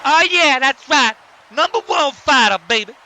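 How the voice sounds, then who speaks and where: A man voicing a cocky, loud-mouthed, excitable pimp wrestler in a cartoon. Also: very high voice, moderate pace, noisy background. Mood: triumphant. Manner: swaggering, excitable, shouty.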